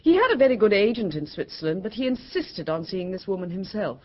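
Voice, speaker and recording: An anxious, well-bred English wife in a vintage radio drama. She is earnest and insistent, at times hesitant, with a highly animated intonation. The sound is dull and dated. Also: fairly quick.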